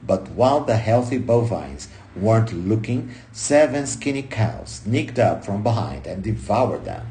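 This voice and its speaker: A man reading a book aloud expressively, in punchy short phrases with dramatic pauses and emphasis. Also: fairly low pitch, dark tone, a little hiss or hum, rough recording. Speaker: audiobook reader.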